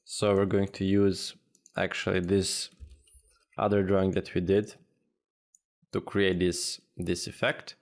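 Slow, gravelly-voiced male instructor. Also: low voice, level tone. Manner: unhurried, thinks aloud with frequent "uh" and restarts. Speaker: software tutorial instructor. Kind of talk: screen-recorded software tutorial. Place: at a computer, voice-over of screen capture.

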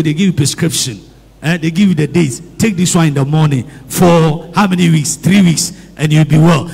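Priest, lively high preaching voice, gravelly edge, long church reverb, calls for amens. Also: male voice. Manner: repeats phrases and asks the congregation questions. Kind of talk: sermon. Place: church hall.

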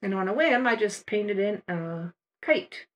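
An older woman talks through her painting steps in a calm, unhurried voice-over. Her voice sits at a middle pitch. She explains as a patient art teacher. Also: dull audio. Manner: relaxed, unhurried step-by-step explaining.

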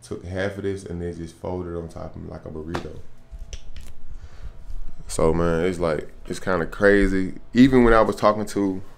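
A man's voice: low voice, fairly even intonation, normal speed, gravelly, a little hiss or hum. An American man in his thirties talking casually to camera in a hotel room, slangy, peppering his talk with familiar filler phrases. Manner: slangy, repeats "man", "bro" and "you know what I'm saying". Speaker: truck driver vlogger. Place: small furnished hotel room, indoors.

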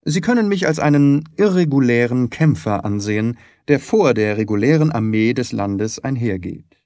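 Man voicing a gentleman detective, shrewd, composed, precise, insistent, lively intonation, audiobook. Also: medium pitch, moderate pace, no echo, clean studio sound, close to an everyday voice. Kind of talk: audiobook character acting.

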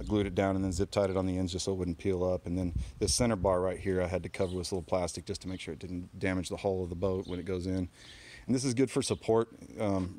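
A man's voice: low-pitched, a little mellow, slight background noise. A man walking around his kayak trailer outdoors and explaining it casually, with lots of ums and restarts, filmed on a handheld phone. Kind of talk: casual walkaround show-and-tell. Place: outdoors on a driveway.

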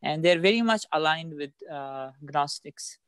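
A man from Afghanistan answering questions about his background in a group video call, speaking in a measured, careful way. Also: fairly high pitch, animated, fairly bright tone, clean tone, no room sound, studio quality. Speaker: participant.

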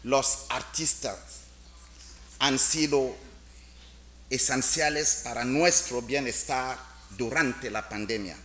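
A man's voice: medium pitch, fairly bright tone. A middle-aged man with a French accent, reading a formal speech carefully from a podium in a large conference hall. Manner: slow, careful delivery in a second language. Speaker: international organization official. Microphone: podium microphone.

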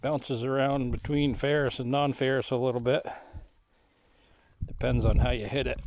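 Gravelly-voiced man muttering outdoors. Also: medium pitch, steady tone, moderate pace, darkish tone, no echo. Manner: muttering to himself in short remarks. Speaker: metal detecting vlogger. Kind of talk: casual running commentary while digging. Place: outdoors on grassy farm field. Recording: outdoor action camera with background noise.

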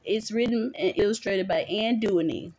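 A woman's voice: medium pitch, normal speed, a little mellow, a little hiss or hum, rough recording. A mother reading a picture book aloud to her young child in a playful, sing-song way, chatting with the child as she goes. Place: small dim bedroom at home.

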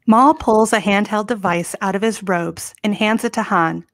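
A woman's voice: mid-range voice, moderate pace, dry recording. A woman reading scripted stage directions as narrator of a comedy table read. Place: home room. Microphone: home video call.

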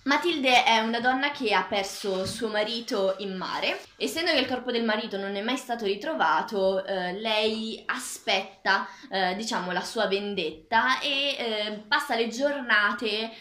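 Bright-voiced young woman in an echoey kitchen. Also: on the high side, a little slow, few pauses. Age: young adult, about 21. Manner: hesitant, searching for words. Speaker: vlogger reviewing a graphic novel. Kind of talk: casual semi-improvised book review to camera. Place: small tiled home kitchen, indoors. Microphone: camera across the table. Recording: echoey tiled kitchen, clear background noise.

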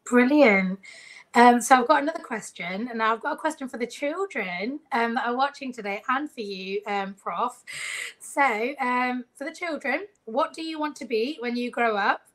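A woman hosts a live video call lesson for children from her home. She speaks in an upbeat, friendly way with a fairly high voice. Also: normal speed, a bit bright, rough recording. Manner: friendly, upbeat, laughs often. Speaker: host. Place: home room.

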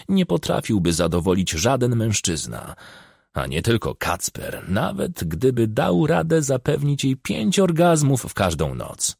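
A man narrates a crime novel for an audiobook in a low-pitched voice with a creaky edge. His pitch moves about in a lively way as he reads the scripted prose. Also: dry recording, clean studio sound.

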